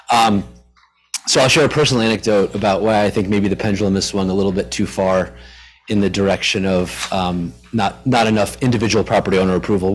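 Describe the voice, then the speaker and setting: Guy w a lowish voice giving public comment at a village meeting, chatty, lots of ums and hedges, on a podium mic. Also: rough recording. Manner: conversational, self-deprecating, hedging with fillers. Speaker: resident commenting at public hearing. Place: village board meeting room.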